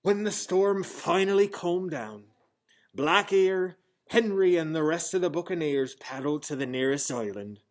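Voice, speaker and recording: A man reading a children's storybook aloud in a theatrical, expressive style. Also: fairly high pitch, a little slow. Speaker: storybook reader. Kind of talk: dramatic children's storybook narration.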